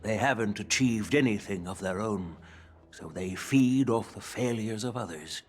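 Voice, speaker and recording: A man's voice: on the low side, measured pace, faint music behind, close to an everyday voice. A man voicing a seasoned elder general, grave and formal, in an anime-style video game. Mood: disdainful. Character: stern, seasoned elder general, weighty and frank.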